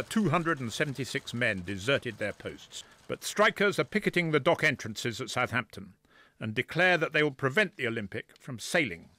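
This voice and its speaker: A man's voice: on the high side, bright, forceful tone. An actor playing an urgent 1912 newspaper man declaiming cable dispatches in a radio drama. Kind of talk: scripted news reading in radio drama.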